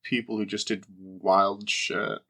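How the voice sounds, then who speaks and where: A man's voice: lowish voice, flat delivery, slow, gravelly, bright, forceful tone. A man co-hosting a podcast, talking in a loose, jokey, rambling way with some casual swearing. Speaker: podcast co-host. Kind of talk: casual chat, rambling storytelling.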